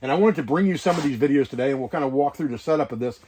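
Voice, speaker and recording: A man's voice: mid-range voice, racing, dark tone, slight background noise. Casual man explaining gear. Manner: informal, rambling, explanatory. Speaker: outdoor preparedness instructor and video host. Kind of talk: casual instructional vlog talk. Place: wall tent at a hunting camp.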